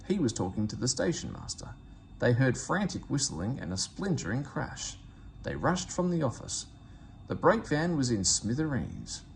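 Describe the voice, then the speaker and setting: A man reading a children's story aloud in expressive narration. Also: mid-range voice, vocal fry, slight background noise. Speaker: storybook reader.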